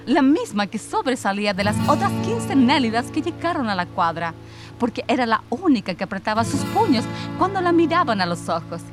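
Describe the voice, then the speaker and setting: A returning exile in a radio play, speaks with earnest, dramatic weight. Her voice is high and very animated in pitch, with clear music behind it. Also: fairly quick. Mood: tender, nostalgic. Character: older exiled woman returning home to her lost love. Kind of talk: radio theatre acting.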